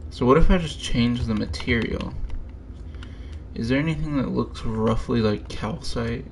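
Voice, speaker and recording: Slow, gravelly man muttering over gameplay. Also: medium pitch, a little hiss or hum. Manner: muttering to himself in short fragments. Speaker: streamer. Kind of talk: casual thinking-aloud gameplay commentary.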